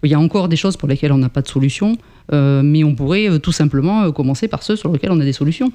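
A man's voice: fairly high pitch, fast, mellow. A French-speaking man in his fifties, a guest answering interview questions about climate work, hesitant with many fillers and restarts. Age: 50s. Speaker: guest, climate association member.

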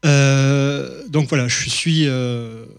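A man's voice: medium pitch, fairly even intonation, drawn-out words, vocal fry, clear, resonant tone. A man from southern France giving a public mathematics lecture, hesitant, with many fillers and restarts.

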